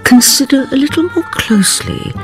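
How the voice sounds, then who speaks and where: An actress playing a grave, knowing storyteller, narrating a radio drama with deliberate, theatrical phrasing. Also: female voice, high-pitched, expressive, music in the background.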